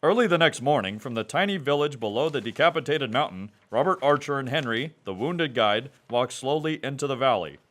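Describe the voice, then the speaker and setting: A man's voice: expressive, a little fast, few pauses, a bit bright. Dramatic radio serial narrator, man. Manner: dramatic, booming announcer. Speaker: narrator and announcer.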